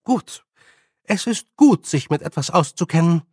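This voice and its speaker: Audiobook role: bossy, good-humoured old witch woman played by a man, high voice, animated, stern and teasing. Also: unhurried, breathy edge, dry recording, studio quality. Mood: brisk, loud. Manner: loud, stern, teasing, colloquial scolding. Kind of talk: audiobook character voice.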